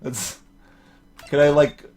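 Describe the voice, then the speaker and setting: A man giving casual let's play commentary and reading dialogue, haltingly thinking aloud. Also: on the high side, steady tone, a little mellow. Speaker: let's player voicing game characters. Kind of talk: casual gameplay commentary mixed with read dialogue.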